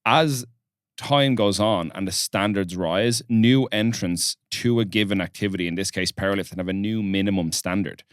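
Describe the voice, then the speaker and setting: A man's voice: lowish voice, normal speed, smooth, no echo, studio quality. A young man hosting a casual podcast interview, blunt and swearing freely as he talks. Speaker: podcast host.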